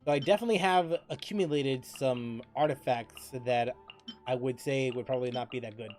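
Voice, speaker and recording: A game streamer chatting casually while playing, thinking aloud, repeating phrases and breaking into loud exclamations. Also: mid-range voice, fairly quick, soft background music. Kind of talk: casual livestream chat and gameplay commentary.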